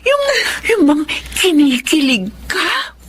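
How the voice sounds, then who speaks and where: A woman's voice: high voice, sing-song, takes it slowly, a little hiss or hum, full character voice. An actress playing a gushing, sentimental young woman in a Filipino radio drama.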